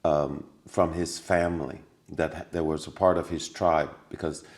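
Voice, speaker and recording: A man's voice: rumbling low, fairly even intonation, moderate pace, a little mellow. A man talking as a podcast guest, telling stories earnestly with many restarts and repetitions. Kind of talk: casual conversation.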